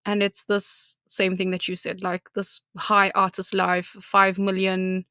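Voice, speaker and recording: A South African woman co-hosting a podcast, speaking candidly and laughing often. Her voice carries a gravelly fry. The sound is close and dry, with no room around it. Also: mid-range voice, completely flat delivery, unhurried, bright, forceful tone, dry recording. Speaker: podcast co-host. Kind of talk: casual chat.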